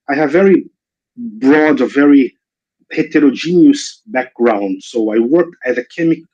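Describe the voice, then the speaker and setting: Guest lecturer, Brazilian man, mixed accent, halting delivery, online call. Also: medium pitch, a little mellow, lo-fi sound. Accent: mixed Brazilian, British and American English. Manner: hesitant, many restarts and fillers. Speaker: invited guest speaker. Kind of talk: online seminar talk. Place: video call.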